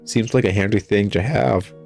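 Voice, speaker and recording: Male game commentator, lowish voice, wry and exasperated, off-the-cuff critique, faint game music underneath. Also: normal speed, vocal fry, a little mellow. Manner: sarcastic, exasperated, chuckling griping. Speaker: gamer critiquing a video game. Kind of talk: casual gameplay commentary, reading dialogue aloud. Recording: music behind the voice. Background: video game music.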